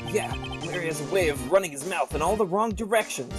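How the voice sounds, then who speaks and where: A man's voice: a little high, animated, quick pace. A man casually playing a game with friends on stream, joking, swearing and hesitating as he reads along. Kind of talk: casual group game play with reading aloud. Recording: music behind the voice.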